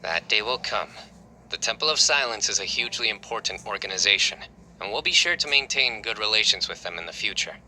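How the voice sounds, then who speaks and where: An actor playing a stern, formal young desert judge who speaks in a measured, serious way. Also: male voice, fairly low pitch, lively intonation, pushed and bright, a little hiss or hum. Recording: game voice line over faint score.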